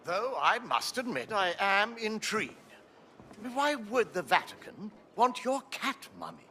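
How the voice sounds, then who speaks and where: A concerned older British academic in an adventure game. His bright voice swoops widely in pitch, genteel and fussy. There is faint noise in the background. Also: sing-song, measured pace, heavily acted. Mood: pleading. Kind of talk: cinematic action-adventure game acting.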